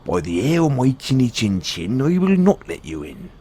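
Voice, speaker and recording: A man voicing a small, frightened but defiant storybook piglet in a squealing falsetto. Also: sing-song, normal speed, mellow, husky.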